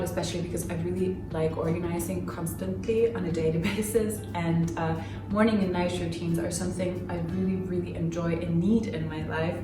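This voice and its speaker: A woman's voice: on the low side, echoey room. Casual vlog girl in her 20s, lots of "like" and "um", sounds a bit far off, music playing behind. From Finland, raised in Germany. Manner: chatty, many fillers and little laughs. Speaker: vlogger. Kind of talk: casual get-to-know-me Q&A. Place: home room.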